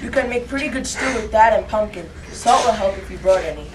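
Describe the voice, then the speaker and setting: A woman's voice: mid-range voice, lively intonation, brisk, lightly acted. Woman doing a plucky frontier kid, earnest, far from the mic with faint hiss. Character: self-reliant frontier boy, earnest. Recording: distant mic with faint noise.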